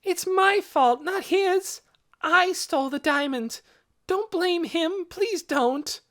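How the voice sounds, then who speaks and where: A man voicing a frightened, pleading little boy with a high, wavery voice in an audiobook. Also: slow, pushed and bright, heavily acted. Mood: desperate pleading. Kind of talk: audiobook character acting.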